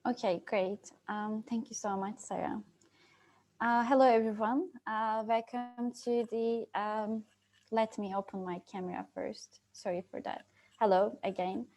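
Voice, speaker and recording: A woman's voice: on the high side, steady tone, unhurried, a little mellow. A young woman from Turkey moderating an online breakout session, hesitant, with many fillers and restarts. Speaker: youth moderator. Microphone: video call.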